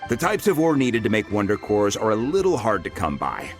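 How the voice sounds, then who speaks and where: An actor voicing a friendly, humble blacksmith in a video game, chatty and self-deprecating. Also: male voice, fairly low pitch, huge pitch swings, fairly quick, faint music behind.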